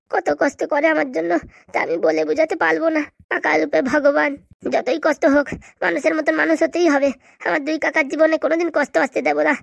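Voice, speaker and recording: Woman as cartoon schoolboy, very high voice, fast-talking, earnest, devoted, emotional. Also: creaky, no room sound, clean studio sound. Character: devoted, earnest schoolboy nephew, studious and grateful. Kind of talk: comedy cartoon dialogue.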